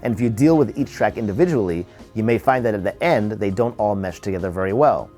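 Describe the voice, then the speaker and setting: A man presenting an audio tutorial in an instructional, measured, step-by-step way. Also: fairly low pitch, lively intonation, a little mellow, soft background music. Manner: explanatory, measured step-by-step delivery. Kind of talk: instructional narration.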